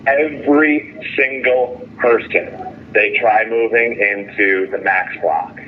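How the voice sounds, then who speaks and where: A man's voice: mid-range voice, steady tone, slow. A man calling in over a noisy phone line, complaining in a frustrated, emphatic way and repeating his phrases. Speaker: jailed caller. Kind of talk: phone call venting about jail and legal case. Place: phone call from jail. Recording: tinny phone line with noise.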